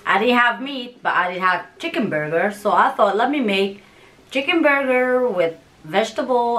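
A woman in her thirties from Yemen and Somalia, chatting frankly and animatedly to camera as a vlogger from a small room at home. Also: fairly high pitch. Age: 30s. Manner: frank, animated, gestures with hands. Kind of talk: casual direct-to-camera chat. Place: small home room, seated in a hanging chair. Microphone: camera in front, mid distance. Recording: music clearly under the voice. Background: music playing in the background.

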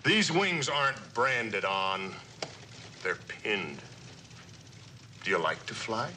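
A stern Air Force general with a bright, hard-edged, forceful voice that swings in pitch as he admonishes. His delivery is clipped and commanding, in mid-century suspense drama style, over light noise on an old soundtrack. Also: very animated, slow, heavily acted. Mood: stern, admonishing. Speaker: actor in a radio and television drama.

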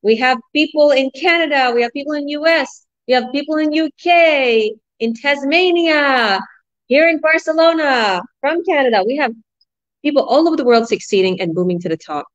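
A woman's voice: high-pitched, moderate pace. Upbeat woman hosting a video call. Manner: enthusiastic, salesy, repetitive. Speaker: host. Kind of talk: upbeat online business pitch. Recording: muffled video call audio.

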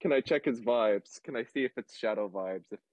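A man's voice: medium pitch, fairly quick, darkish tone, highs cut off. A man chatting casually as a player in a tabletop game, hesitant and often repeating his phrases. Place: online group voice call, dry.

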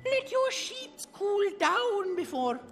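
A woman's voice: extremely high pitch, sing-song, slow, full character voice. A woman playing a fussy, meddling older nanny in a stage comedy, with a muddled put-on British accent and a theatrical, doting manner. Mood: disapproving, meddling. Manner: theatrical, doting, calls people dear. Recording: echoey hall with music.

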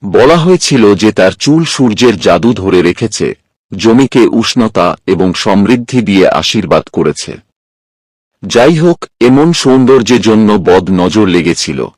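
A man's voice: lowish voice, animated, a little slow, a little mellow, no echo. A man reading a scripted fairy tale as a storyteller, recorded up close as a voice-over. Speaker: storyteller, narrator.

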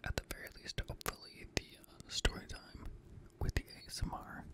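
A young man reading scary stories in a hushed, breathy ASMR whisper, gently, close to a studio microphone. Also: moderate pace. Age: young adult. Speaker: host and reader of scary stories. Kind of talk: whispered ASMR horror story narration. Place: small indoor home studio room. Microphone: close boom-arm studio microphone.

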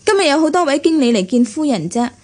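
An actress playing an inquisitive, naive newcomer to a rich household in a radio drama, with a Hong Kong Cantonese accent. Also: female voice, sits high, sing-song, fast, a little mellow. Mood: curious.

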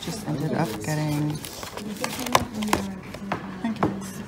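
A woman's voice: sits low, very slow, a loud crowd. A young woman from Cork with an Irish accent, chatting bluntly to a phone camera in a casual vlog. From Ireland. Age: young adult. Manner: blunt, swears freely, chatty. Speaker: vlogger. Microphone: handheld phone camera. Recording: noisy crowded phone recording.

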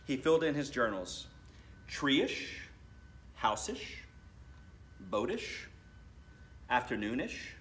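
An American man in his thirties reads to children as a school principal. His mid-range voice moves up and down in a lively way, with deliberate phrasing. There is faint noise in the room around him. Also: mid-range voice. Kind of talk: storybook read-aloud. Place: school library, indoors.

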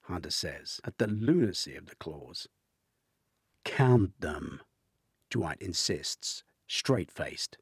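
Middle aged guy narrating a thriller audiobook, husky, lively swings, slow. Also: deep voice, dry recording, studio quality. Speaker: author reading his novel.

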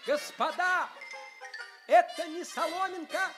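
Proud tiny man, very high-voiced. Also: slow, loud music behind the voice. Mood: brisk, showy. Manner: declaiming, showman-like. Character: tiny proud human performer among giants, grown man. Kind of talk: Soviet radio play acting.

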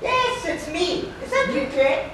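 An echoey, distant stage recording with clear noise. An actress plays a friendly woman shop manager, earnest and eager, her high voice swinging up and down as she projects simple lines. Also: big pitch swings, a little slow, fairly bright tone, full character voice. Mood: cheerful, chatty. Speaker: stage actor. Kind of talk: live stage play dialogue. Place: theatre stage before a live audience. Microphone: far camera. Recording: echoey noisy theatre, distant camera mic.

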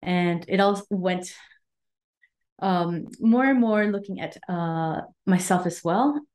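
A woman from Mexico and Guatemala, a wellness coach, telling her story in an earnest, unhurried interview voice with pauses while she searches for words. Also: on the low side, a little mellow. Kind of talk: conversational interview.

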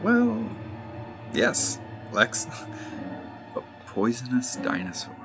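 A man's voice: mid-range voice, wildly swooping pitch, very slow, gravelly, full character voice. A man playing a chatty, reassuring park publicity man in an audio drama, a little hesitant. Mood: placating. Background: music.

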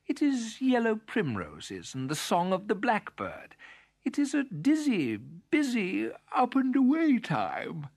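Woman voicing a lilting, high little beetle lady. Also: wildly swooping pitch, takes it slowly, darkish tone, breathy edge, no echo, studio quality, heavily acted. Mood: dreamy, excited. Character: sleepy, cheerful female spotted beetle, gentle and dreamy.

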